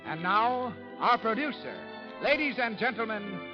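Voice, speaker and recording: An American man announces in grand 1930s radio style, his fairly high voice swinging with lively emphasis. The old broadcast recording is dull, with music clearly behind him. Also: unhurried. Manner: formal, emphatic showman's delivery. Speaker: radio show announcer and sponsor pitchman.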